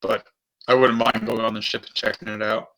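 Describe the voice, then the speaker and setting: A man's voice: fairly low pitch, little pitch movement, fairly quick, bright, forceful tone. A man talking casually and matter-of-factly as a player in a tabletop role-playing game.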